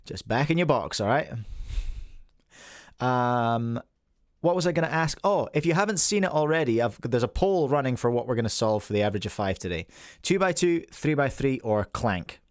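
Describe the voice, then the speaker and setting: A man's voice: mid-range voice, lively intonation, moderate pace, no room sound, clean studio sound. A Scottish man in his forties hosting a casual livestream podcast, rambling and chatting with viewers by name. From Scotland. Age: 40s. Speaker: podcast host.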